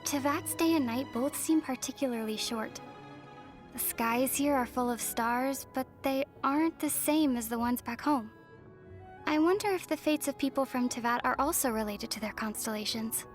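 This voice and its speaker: A woman playing a gentle, thoughtful young female traveler from another world in scripted anime game dialogue. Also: sits high, normal speed, soft background music, natural voice.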